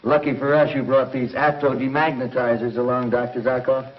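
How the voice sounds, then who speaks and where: A man playing a resolute, medium-pitched space crewman. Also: level tone, fairly quick, lightly acted. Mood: firm, satisfied. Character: resolute male comrade of a space hero. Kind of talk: 1950s television sci-fi serial acting. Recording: dull 1950s TV soundtrack.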